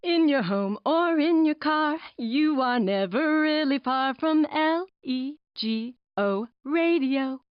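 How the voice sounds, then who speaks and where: A woman's voice: high-pitched, expressive, takes it slowly, hardly any pauses, no echo, lo-fi sound, soft background music. A woman performing as the voice of a radio station jingle in a game, drawing out her words. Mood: sweet, promotional. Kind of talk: slow, drawn-out radio jingle.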